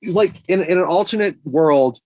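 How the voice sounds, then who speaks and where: A man's voice: a little high, normal speed, darkish tone, dry recording, low-quality recording. A middle-aged man co-hosting a podcast, chatting casually and offering commentary. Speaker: podcast co-host.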